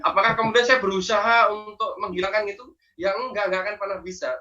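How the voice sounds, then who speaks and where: A man with a strong Javanese accent teaching public speaking, lively and persuasive, posing rhetorical questions. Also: high-pitched, fast talker, distant mic, muffled recording, soft background music. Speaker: guest speaker and trainer. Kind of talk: online class teaching.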